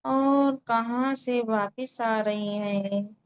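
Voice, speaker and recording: A woman leading a guided meditation in a calm, soothing way, giving unhurried instructions with long pauses. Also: a little high, clear, resonant tone, lo-fi sound. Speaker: meditation guide and Reiki healer.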